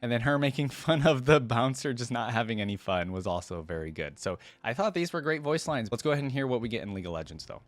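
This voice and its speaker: Male reaction host, chatty and musing, average pitch, dry close mic. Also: animated, fast talker, hardly any pauses, dry recording. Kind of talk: casual off-the-cuff commentary.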